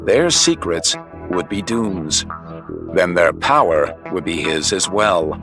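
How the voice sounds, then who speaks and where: Male narrator, low voice, dramatic scripted storytelling, comic book adventure, loud music behind. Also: expressive, slow pace. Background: prominent music and effects.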